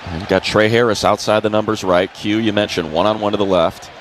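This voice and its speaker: A man's voice: fairly low pitch, fairly even intonation, a little fast, poor audio. A man doing live radio play-by-play of American football in clipped, descriptive phrases. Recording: crowd and ambient noise behind. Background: stadium crowd noise.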